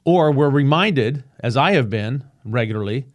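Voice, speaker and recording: A man's voice: medium pitch, animated. Earnest man musing on a podcast. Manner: earnest, halting, with many restarts and fillers. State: slightly rough voice. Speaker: podcast host. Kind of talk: reflective solo podcast monologue. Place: home room with a painting behind him.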